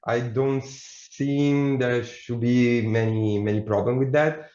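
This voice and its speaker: A man's voice: mid-range voice, fairly even intonation, slow, darkish tone. Man presenting admissions info. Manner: hesitant, many fillers. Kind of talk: online information session. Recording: echoey room, dull lo-fi sound.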